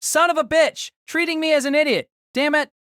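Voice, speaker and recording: A man's voice: steady tone, fairly quick, a bit bright, no echo, studio quality. A man voicing a hot-tempered, insecure young male mage who snaps petulantly in an audio drama. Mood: furious. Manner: petulant, snapping, shrill outbursts.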